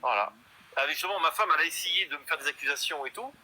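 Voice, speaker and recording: A man's voice: fairly high pitch, rapid-fire, sharp tone, low-quality recording. Boastful rambling man in his fifties. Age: 50s. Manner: boastful, rambling with many hesitations. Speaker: guest in a live stream chat. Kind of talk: casual chat.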